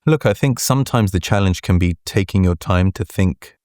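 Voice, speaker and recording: A man with a deep voice answering interview questions as a guest, measured and deliberate, often checking in with "right?". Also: darkish tone, no echo, clean studio sound. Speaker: venture capital partner, guest.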